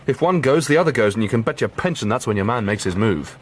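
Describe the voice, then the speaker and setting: A man's voice: medium pitch, lively intonation, fast, lightly acted. Male actor as eager, stumbling British constable, mid voice, faint street noise. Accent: British English. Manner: hesitant, stumbling. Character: eager, nervous young male constable on stakeout. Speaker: police constable.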